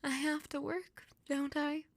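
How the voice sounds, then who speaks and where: A grieving young woman in an audio drama. Her voice sits high and sounds fragile, full of doubt and self-blame. The sound is dry, without any room around it. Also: flat delivery, measured pace, no room sound. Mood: hesitant, questioning. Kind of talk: emotional audio drama acting.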